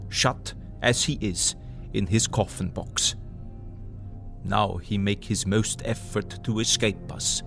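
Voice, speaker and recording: An actor playing an older foreign professor in an audio drama, earnest and emphatic, with halting foreign syntax. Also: male voice, mid-range voice, lightly acted.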